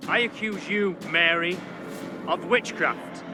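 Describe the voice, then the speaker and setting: An actor playing a stern, authoritative seventeenth-century judge in a video game, speaking in formal, archaic, commanding language. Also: male voice, high voice, slow, music in the background. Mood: grave, accusing. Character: stern, authoritative male 17th-century witch-trial judge. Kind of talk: dramatic video game acting.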